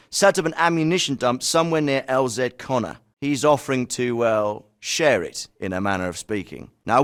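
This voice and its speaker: An actor voicing a stern special forces commander. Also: male voice, medium pitch, close to an everyday voice. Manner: measured, clipped military briefing delivery. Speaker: military commander giving briefings. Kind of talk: video game voice acting. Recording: clean studio voice recording.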